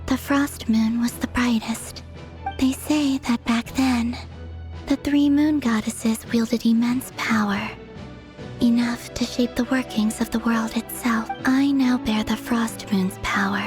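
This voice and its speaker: A woman playing a solemn young moon goddess in a video game, with a soft, breathy, serene delivery. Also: fairly high pitch, fairly even intonation, slow, soft background music. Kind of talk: scripted game dialogue.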